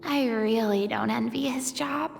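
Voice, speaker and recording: A woman's voice: on the high side, unhurried, gravelly. A woman playing an observant, candid young adventurer in a video game, talking in a wry, conversational way. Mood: wry aside. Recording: long reverb, faint background noise.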